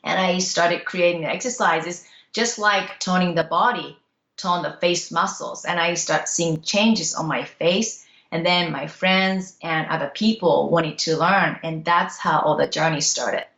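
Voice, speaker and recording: A woman's voice: lowish voice, unhurried, gravelly, fairly bright tone, echoey room, muffled recording. A middle-aged woman from Japan with a Japanese accent, speaking as an interview guest in an earnest, reflective way. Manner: earnest, reflective, simple English phrasing. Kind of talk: casual interview talk.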